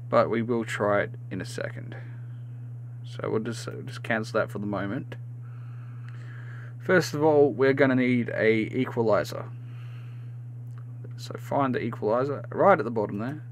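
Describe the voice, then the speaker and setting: A man hosting a step-by-step software tutorial, halting and sometimes repeating or correcting himself. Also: mid-range voice, slight background noise. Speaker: tutorial host. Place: indoors, screen-recording voice-over.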